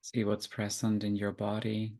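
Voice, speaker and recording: A man guiding a meditation, giving gentle, unhurried instructions with long pauses. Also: lowish voice, completely flat delivery, vocal fry. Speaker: meditation guide. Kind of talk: guided meditation.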